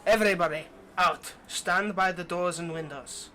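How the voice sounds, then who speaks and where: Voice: mid-range voice, moderate pace, very noisy, lightly acted. Smug, teasing vampire, acted out for roleplay. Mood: menacing, possessive. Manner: teasing, condescending, drops to whispers. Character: smug possessive vampire, teasing, confident, menacing predator. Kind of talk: ASMR roleplay monologue.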